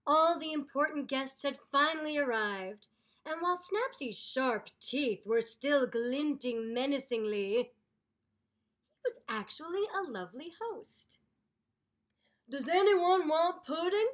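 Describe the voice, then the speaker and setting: A woman reading a children's story aloud in an expressive, theatrical, deliberate way. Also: high voice. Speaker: storyteller, voice actor.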